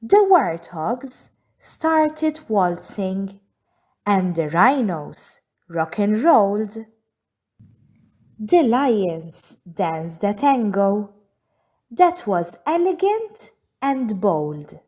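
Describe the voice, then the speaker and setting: Very slow, expressive woman reading a storybook. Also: mid-range voice. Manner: measured phrasing with deliberate pauses. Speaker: storybook reader. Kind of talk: children's read-aloud narration.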